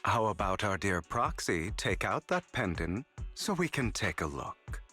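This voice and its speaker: An actor playing a suave, scheming male trickster in an adventure game, with polished, deliberate, theatrical delivery. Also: on the low side, sing-song, pushed and bright, soft background music, full character voice. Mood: smooth, self-satisfied. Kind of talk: video game voice acting.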